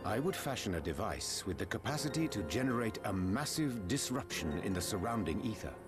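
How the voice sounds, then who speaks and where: Game sage, low and richly inflected, archaic courtly phrasing, music behind. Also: male voice, very animated. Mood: scholarly, measured. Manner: archaic, elaborate, measured diction. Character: scholarly, courtly male sage, verbose and deliberate. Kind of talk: video game dialogue acting.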